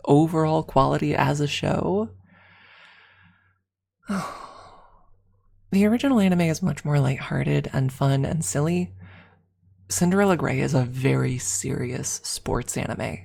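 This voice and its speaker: A woman's voice: deep voice, creaky, darkish tone. A woman streamer rambling casually to her viewers on an ASMR livestream, talking in a gushing, hyperbolic fan's manner. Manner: gushing, hyperbolic fan talk. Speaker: streamer answering viewer chat.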